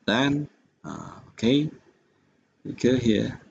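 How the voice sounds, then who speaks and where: A man with an Indonesian accent giving an unscripted software tutorial in a halting, hesitant manner. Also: medium pitch, animated, creaky, breathy edge, highs cut off. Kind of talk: unscripted screen-recorded tutorial.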